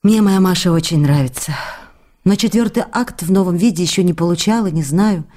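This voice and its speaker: A woman's voice: fairly low pitch, a little fast, dark tone, close to an everyday voice. A woman playing an affectionate young actress, confiding in her absent lover through letters read aloud in a radio play. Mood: fond, weary. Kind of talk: radio play reading of love letters, intimate. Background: faint music.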